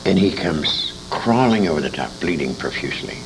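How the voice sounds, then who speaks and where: An older male veteran with a low, gravelly voice recounts his memories in an interview. His delivery swings widely in pitch as he haltingly and emphatically acts out who said what, with salty language. It is an amateur tape with clear background noise. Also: animated. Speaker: war veteran being interviewed. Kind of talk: anecdotal storytelling in an interview. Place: indoor interview at a convention. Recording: noisy amateur interview tape with room ambience.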